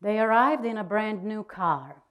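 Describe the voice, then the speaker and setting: Female reader for a literary diary, very unhurried, medium pitch, expressive delivery with deliberate pauses. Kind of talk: dramatic literary reading.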